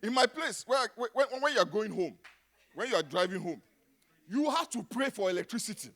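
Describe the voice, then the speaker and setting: Nigerian pastor with a lively, swooping voice. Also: male voice, high-pitched, normal speed. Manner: dramatic, swings from hushed to shouting. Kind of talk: sermon.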